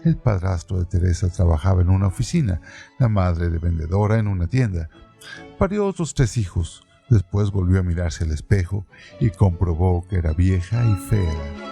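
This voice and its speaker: A man narrating a novel aloud in a calm, deliberate scripted reading. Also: deep voice, gravelly, soft background music.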